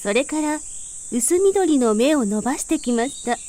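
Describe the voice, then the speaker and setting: High-voiced woman telling a children's fairy tale. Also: expressive, unhurried, mellow. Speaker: storyteller.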